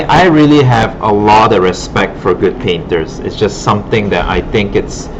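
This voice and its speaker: A man giving an informal classroom art demonstration, thinking aloud casually as he works. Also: low-pitched. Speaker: digital art instructor. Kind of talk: informal classroom demonstration, narrating while working. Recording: muffled, broken audio with loud room hum.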